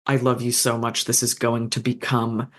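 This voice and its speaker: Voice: mid-range voice, monotone, moderate pace, fairly bright tone. A fanfiction author in a casual podcast conversation, thoughtful and meandering, with frequent fillers and restarts.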